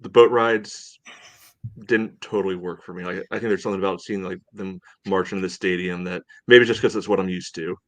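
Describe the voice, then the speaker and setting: A man with a fairly low voice hosts a casual sports podcast. His delivery is halting and meandering, padded with filler phrases. The sound is dry, with very little room in it. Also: fairly even intonation, no echo. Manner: halting, meandering delivery with frequent "you know". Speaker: podcast host.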